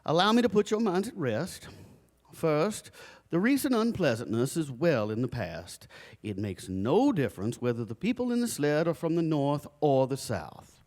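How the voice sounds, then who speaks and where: A man's voice: fairly high pitch, big pitch swings, normal speed, darkish tone. Man playing a pompous, flustered father, swooping intonation. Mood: pompous, reasoning. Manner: formal, pompous, hesitant. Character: flustered, dignified father improvising answers for his children. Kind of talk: live stage audio drama. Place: recreation center stage, live performance.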